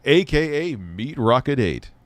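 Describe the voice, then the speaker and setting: A man's voice: medium pitch, very animated, a little slow. Guy streaming and roasting a clip, swears a lot, laughs, light hiss behind. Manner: profane, mocking, laughs often. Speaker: livestream host reacting to videos. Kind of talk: crude casual commentary over a video.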